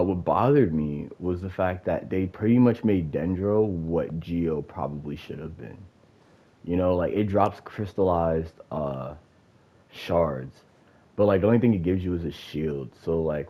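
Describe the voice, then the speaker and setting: A man with a very low, husky voice and a stuffed-up, nasal sound rants about a game. He swears freely and rambles through many fillers and restarts. The recording is slightly dull and lo-fi. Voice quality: nasal, congested. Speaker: gamer giving opinions. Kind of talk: casual rant.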